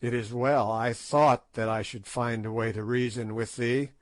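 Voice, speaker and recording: A man reading aloud and voicing a stern king who speaks slowly and with command. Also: mid-range voice, dry recording, lightly acted, rough recording. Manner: slow, stern, measured.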